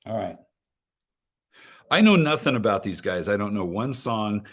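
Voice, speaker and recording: A man's voice: fairly low pitch, expressive, moderate pace. A man chatting casually as a guest, rambling, joking and often cutting himself off. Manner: rambling, self-interrupting, joking. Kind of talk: casual chat about concerts.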